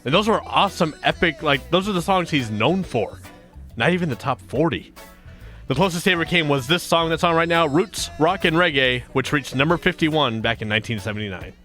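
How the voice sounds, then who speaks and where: A man's voice: fairly high pitch, expressive, a little fast, pushed and bright, soft background music. A man in his thirties hosting a casual countdown chat, upbeat, reading out chart facts and laughing often. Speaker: radio show host.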